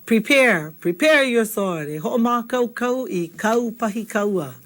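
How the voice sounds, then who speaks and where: A woman's voice: a little high, lively intonation, unhurried. A woman teaching vocabulary in a casual video lesson. She keeps checking in with the learner, asking "okay?" after nearly every point. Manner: chatty, checks in with "okay?" constantly. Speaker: Hawaiian language teacher.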